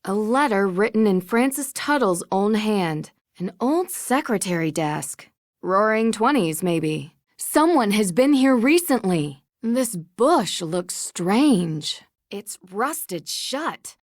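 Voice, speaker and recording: An actress playing a young female amateur detective, musing aloud in a measured, observant way for a video game. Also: medium pitch, lively intonation, no room sound, clean studio sound. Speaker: game protagonist. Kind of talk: scripted game voice lines, thinking aloud.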